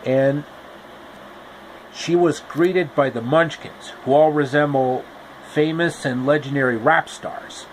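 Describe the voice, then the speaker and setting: Male storyteller, fairly high voice, slow and rambling, casual reading. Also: fairly even intonation, slight background noise, rough recording. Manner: loose, rambling narration with restarts. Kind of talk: casual improvised story retelling.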